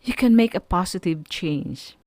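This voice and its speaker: A woman with a Filipino accent teaching students on an educational radio program, speaking emphatically and deliberately in short, separated phrases. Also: fairly low pitch, animated, a little mellow, no echo. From Philippines. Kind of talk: educational radio lecture, addressing students directly.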